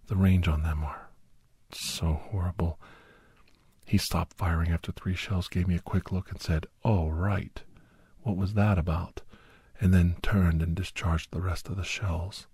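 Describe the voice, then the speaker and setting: Grave soldier-doctor narrator for ASMR audio drama, male actor: very breathy, unhurried, hushed and halting, slipping into whispers. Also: low voice, steady tone, dry recording, heavily acted. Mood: tense, urgent. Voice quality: breathy, husky. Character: doctor and ex-soldier recounting a battle, grave, anxious. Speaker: storyteller. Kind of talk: ASMR first-person dramatic story narration.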